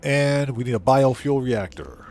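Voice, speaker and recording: A man's voice: mid-range voice, a little slow, a little mellow, slight background noise. A man livestreaming a video game, giving casual commentary and muttering to himself as he plays. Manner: muttering to himself while playing.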